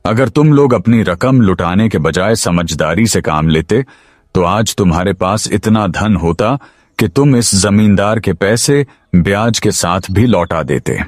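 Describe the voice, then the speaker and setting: A man's voice: on the low side, lively intonation, measured pace, no room sound, clean studio sound. A man voicing a stern, self-important king in a cartoon story, speaking formally and with authority. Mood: scolding. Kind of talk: Hindi cartoon moral-story voice acting.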